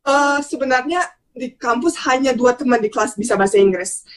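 A young woman chats as a podcast guest. Her voice sits fairly high and she talks quickly. She is expressive and talkative, dropping in English words as she tells her stories. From Bosnia. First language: Bosnian. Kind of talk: casual conversational storytelling.

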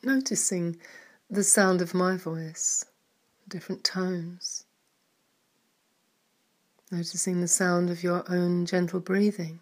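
A woman leading a guided mindfulness meditation in a soft, calm manner, with some words trailing into a whisper. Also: lowish voice, slow pace, long pauses.

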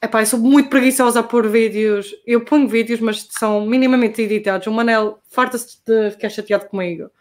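A woman's voice: on the high side, steady tone, brisk, hardly any pauses, darkish tone. A young adult woman from Portugal with a Portuguese accent, chatting casually and hesitantly as a guest, with slang and English terms mixed in. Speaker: guest skater. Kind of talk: casual chat.

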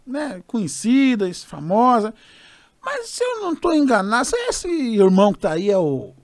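A Brazilian man, a gospel singer guest, telling an animated story and acting out the voices of others. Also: high-pitched, normal speed, dry recording, clean studio sound. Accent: Brazilian Portuguese. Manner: expressive, acts out quoted voices.

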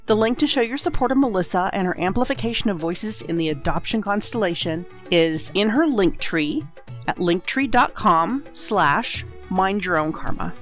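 A woman's voice: medium pitch, animated, moderate pace, fairly bright tone, soft background music. A woman, the show's editor and producer, earnestly reading a prepared appeal asking listeners for support.

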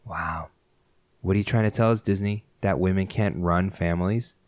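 A man's voice: sits low, moderate pace, dry recording. A man co-hosting a podcast in casual chat, teasing and trolling with jokes. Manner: teasing, joking deadpan trolling. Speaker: podcast co-host.

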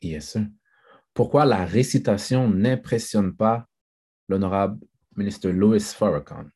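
A man moderating a group discussion, relaxed and mixing in English phrases. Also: fairly low pitch, measured pace, husky.

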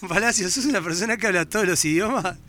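A man's voice: high voice, fast, pushed and bright, faint music behind. A man co-hosting a radio comedy chat, firing off teasing questions. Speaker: radio co-host.

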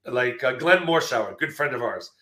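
Guy about 59 losing his voice, upbeat rambly stories, bright tone, echoey room. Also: mid-range voice, fairly quick. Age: 50s. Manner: energetic storytelling with fillers. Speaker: guest, marketing speaker. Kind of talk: casual interview chat. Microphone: video call.

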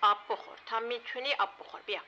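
A soothing young woman, as an actress plays her, coaxing and patient, with a high, bright voice. She speaks lightly and kindly to someone upset. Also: steady tone, dull audio, a little hiss or hum.

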